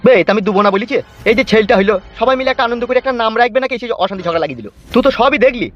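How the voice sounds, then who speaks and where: A man doing a high, rapid, blustering cartoon fellow. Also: expressive. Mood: irritated. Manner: complaining, boastful, swearing. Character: exasperated, blustering, foul-mouthed man, harried father. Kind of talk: comedy cartoon dialogue. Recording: muffled audio with faint music.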